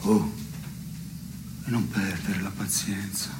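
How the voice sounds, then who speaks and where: An actor playing a deadpan, solemn man in a theatre dialogue, speaking deliberately with halting pauses. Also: sits low. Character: deadpan man solemnly pondering what should die. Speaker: stage actor. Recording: clearly noisy background.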